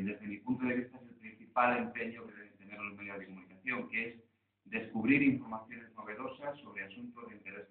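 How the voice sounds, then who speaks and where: Man lecturing in an echoey hall. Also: fairly low pitch. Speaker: journalist speaking on a panel. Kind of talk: round-table conference talk. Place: conference hall. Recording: echoey hall, far from mic, phone-like lo-fi sound.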